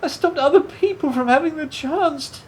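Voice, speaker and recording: An actress playing a remorseful, awkward driver weighed down by guilt in a naturalistic radio drama, speaking with hesitant stammers. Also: female voice, sits high, moderate pace, a little mellow, close to an everyday voice. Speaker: radio play actor.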